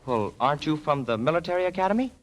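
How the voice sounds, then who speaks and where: A man's voice: on the high side, expressive, racing, close to an everyday voice. An actor playing a brash, lovesick, slangy seventeen-year-old boy in a vintage radio comedy. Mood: cocky, curious. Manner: eager, cocky, slangy. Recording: noisy vintage radio recording.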